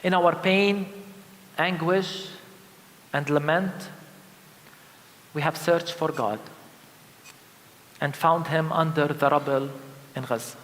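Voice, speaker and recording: A man from Palestine preaching a sermon of lament as a pastor, solemn and emphatic, with deliberate repeated phrases. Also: a little high, fairly bright tone, a little hiss or hum. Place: large indoor church. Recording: reverberant church hall sound.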